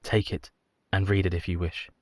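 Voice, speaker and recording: An actor playing a haunted, devoted young Victorian husband in a radio play, solemn and formal. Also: male voice, deep voice, little pitch movement, normal speed, darkish tone, dry recording, studio quality, lightly acted.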